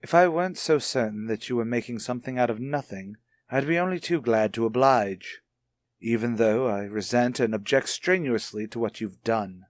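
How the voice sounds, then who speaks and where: A man's voice: medium pitch, normal speed, no echo, studio quality, natural voice. Man playing a stern, condescending radio-soap father. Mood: stubborn. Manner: condescending, sarcastic, formal. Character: stern, rigid, stubborn husband and father. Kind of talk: radio soap opera acting.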